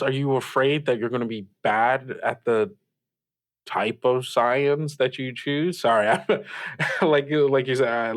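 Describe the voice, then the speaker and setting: A man's voice: mid-range voice, lightly acted. A man playing a young, kindly late-night radio host who talks in a hesitant, stammering, self-effacing way with nervous giggles. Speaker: radio call-in show host. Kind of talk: improvised call-in radio acting, casual.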